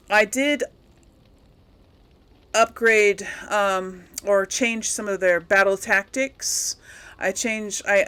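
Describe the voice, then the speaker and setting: A woman's voice: mid-range voice, a bit bright. A woman hosting a game playthrough, talking casually to her audience and thinking aloud in a rambling way. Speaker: host of a game playthrough series.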